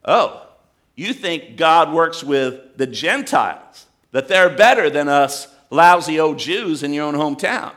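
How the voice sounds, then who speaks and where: A man's voice: on the high side, lively intonation, a little slow, a bit bright. A man voicing a scornful crowd of hometown men who taunt and sneer.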